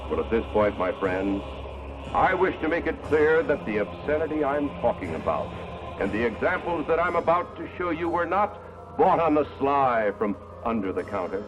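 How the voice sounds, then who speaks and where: A man delivers an old-fashioned moral address with strongly swooping intonation, earnest and alarmed. The sound is dull and archival, with music clearly playing behind him. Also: mid-range voice, sing-song, normal speed. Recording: dull archival film audio under music.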